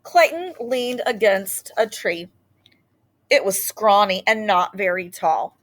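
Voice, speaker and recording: Expressive woman reading a storybook, lively intonation. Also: medium pitch, slow. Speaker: read-aloud storyteller. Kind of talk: expressive children's picture book read-aloud.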